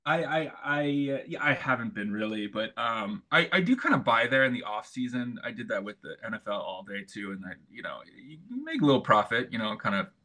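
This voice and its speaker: A man's voice: medium pitch, big pitch swings, a little fast, few pauses, pushed and bright. A middle-aged American man with a laid-back manner and frequent chuckles, speaking as a guest in a casual conversation. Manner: laid-back, chuckles often, many restarts. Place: home in Istanbul.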